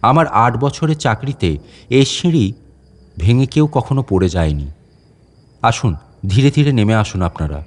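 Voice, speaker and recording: Male storyteller as talkative, guarded fort guide for a dramatic audio story; medium pitch, very lively melody, conversational delivery to unseen tourists. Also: moderate pace, long pauses, close to an everyday voice. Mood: showman-like. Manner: conversational, addressing unseen listeners with questions. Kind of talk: dramatic first-person monologue narration.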